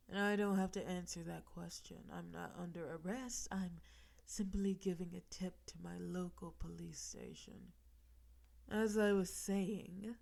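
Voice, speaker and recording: A woman's voice: fairly low pitch, a little mellow. A woman playing a flirty, menacing mafia boss in an audio roleplay, confident and smug, with a teasing, suggestive delivery. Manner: teasing, suggestive, slow deliberate delivery with breathy asides. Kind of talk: audio roleplay with gumshoe cliches.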